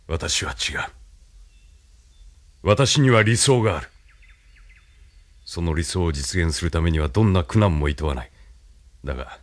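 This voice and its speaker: An actor playing a calm, imperious military leader in an audio drama, with a measured, condescending, lecturing manner. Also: male voice, low voice, no room sound. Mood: lecturing, assured. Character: calm, imperious male military leader, idealist turned cynical schemer. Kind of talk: anime-style audio drama acting.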